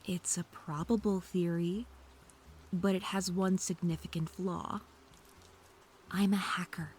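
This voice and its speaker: A woman's voice: fairly low pitch, steady tone, slow, darkish tone, a little hiss or hum. A woman playing a snarky, defensive female hacker under interrogation in an audio drama, sarcastic and indignant.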